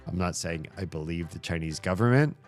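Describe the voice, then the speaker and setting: A man streaming and answering chat in a casual, hesitant way, thinking aloud with many pauses and fillers. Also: low-pitched, soft background music.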